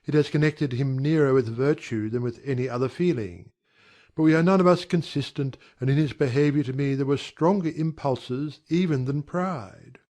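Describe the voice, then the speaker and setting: An actor playing an aggrieved young gentleman in a dramatized audiobook, speaking in formal, measured, earnest period language. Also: mid-range voice, natural voice. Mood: confiding, earnest. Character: young gentleman airing polite, wounded grievances. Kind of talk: dramatized audiobook character acting.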